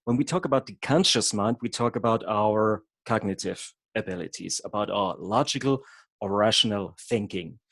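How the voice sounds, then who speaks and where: A man's voice: lowish voice, normal speed, fairly bright tone, dry recording. A man from Germany with a German accent, a hypnotherapist presenting an online workshop in an explanatory way, with occasional stumbles over words. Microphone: video call.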